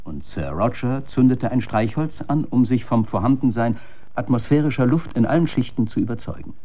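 A man's voice: on the low side, dark tone, slightly rough. Male narrator, slightly dull radio recording. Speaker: narrator reading a story. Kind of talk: scripted literary narration, radio storytelling.

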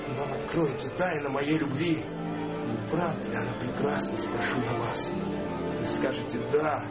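An actor playing an adoring, jealous husband in an impassioned, theatrical radio-play monologue. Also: male voice, medium pitch, fairly even intonation, normal speed, close to an everyday voice. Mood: rapturous. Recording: echoey, narrow-band, loud music underneath.

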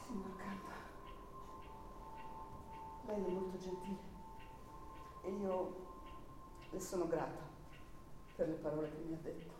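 An actress playing a reserved, dignified young woman lodger in an Italian radio drama, speaking in a measured, deliberate, guarded way. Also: fairly low pitch, fairly even intonation, over music, close to an everyday voice. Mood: weary, restrained. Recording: very echoey, distant, noisy room sound.

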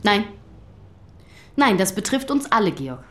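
A woman playing a middle-aged schoolteacher in a radio drama, patient but official, measured and formal. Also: mid-range voice, big pitch swings. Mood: firm, grave.

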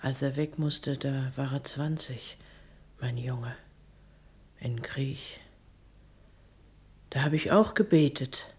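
An actress playing an older, devout and grieving housemaid in a German radio play, plain, hesitant and subdued. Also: female voice, very deep voice, slow pace, pauses often, darkish tone, husky, exaggerated voice. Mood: quiet grief.

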